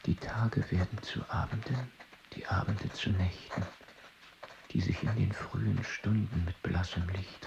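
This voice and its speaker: A man's voice: low-pitched, gravelly, background noise. An actor playing a brooding young male mathematician in a radio play, speaking inwardly and often in a murmur. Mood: melancholy. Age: 20s. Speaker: lead character. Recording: dull radio play audio with loud music.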